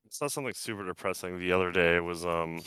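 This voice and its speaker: Low, bright and clear-voiced man. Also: fast talker. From US East Coast. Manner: laid-back, swearing, storytelling. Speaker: podcast co-host. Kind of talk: casual chat among friends.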